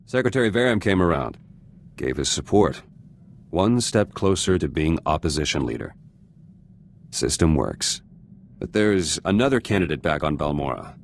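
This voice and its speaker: A very deep-voiced, gruff resistance fighter from a video game. He is guarded and cynical, biting off terse, blunt phrases. A faint layer of ambient noise sits behind him. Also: sing-song, normal speed, pauses often, exaggerated voice. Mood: calculating, dry. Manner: clipped, terse fragments, blunt. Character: gruff, guarded revolutionary fighter turned politician, curt and cynical. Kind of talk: video game character dialogue.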